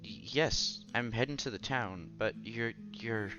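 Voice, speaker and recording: A man's voice: medium pitch, a little slow, muffled recording, over music, close to an everyday voice. Man playing a timid, stammering young student. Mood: hesitant, modest. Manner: hesitant, stammering, self-effacing. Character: modest, timid young male science student.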